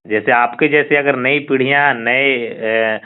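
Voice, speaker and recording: A man with an average-pitched voice interviews over the telephone. He speaks haltingly, repeating words as he goes, through a thin, band-limited call recording. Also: fairly even intonation, clean tone. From Bihar, India. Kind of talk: phone interview. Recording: tinny, narrow-band phone call recording.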